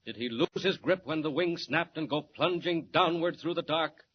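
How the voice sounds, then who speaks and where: A man's voice: fairly high pitch, moderate pace. A man narrating an old radio serial in a theatrical, suspense-building 1940s style, on a muffled, hissy recording. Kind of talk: 1940s dramatic scripted narration.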